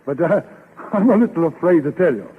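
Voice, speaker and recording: An actor playing an earnest, fussy lawyer in 1930s radio comedy, speaking formally and carefully. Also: male voice, fairly high pitch, animated, fairly quick, slightly rough. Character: earnest, fussy male lawyer breaking news gently. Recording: muffled old 1930s radio recording.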